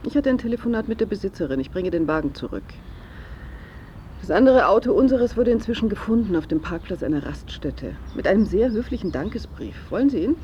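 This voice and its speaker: An actress playing a cool, controlling daughter with a dry, ironic, businesslike manner in a radio drama. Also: female voice, mid-range voice, big pitch swings, fairly quick, mellow, lo-fi sound, a little hiss or hum, natural voice. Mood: brisk, cool. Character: cool, sardonic, controlling daughter of an old painter. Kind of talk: naturalistic radio drama acting.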